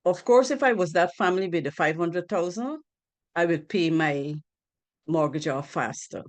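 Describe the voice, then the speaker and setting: A woman's voice: fairly low pitch, darkish tone. A woman from Guyana giving a financial literacy presentation on a group video call, earnest, asking rhetorical questions and repeating key points.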